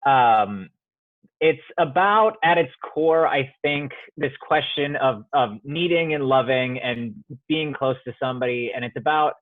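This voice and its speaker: A man's voice: mid-range voice, measured pace, no echo. A young man from Iowa talks through a narrow, phone-like line with no room sound at all. He is a student playwright on a remote call, and he rambles and restarts his thoughts as he goes. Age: young adult. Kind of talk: remote interview conversation. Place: home studio apartment. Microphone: phone or video call. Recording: dry tinny phone line.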